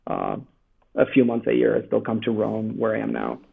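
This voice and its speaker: Gravelly man on a tinny call line. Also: on the low side, fairly even intonation, normal speed, background noise. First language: Italian. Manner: thoughtful, many restarts and fillers. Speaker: author, guest. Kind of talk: conversational interview. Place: home in Rome. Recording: tinny phone-like remote call.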